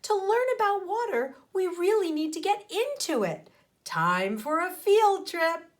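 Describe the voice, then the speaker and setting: A woman's voice: very high-pitched, big pitch swings, measured pace, voice pushed up, heavily acted. A woman reading a storybook and voicing an exuberant, adventurous female science teacher who rallies and explains. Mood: excited rallying. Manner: bright-eyed, rallying, explaining.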